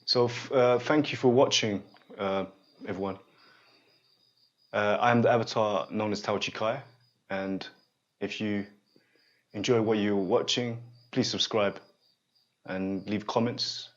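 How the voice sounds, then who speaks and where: A man in his forties guiding a student through a one-on-one therapy session, speaking haltingly and often stopping to check that the listener follows. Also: fairly low pitch, fairly even intonation, highs cut off. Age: 40s. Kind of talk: unscripted one-on-one guidance session.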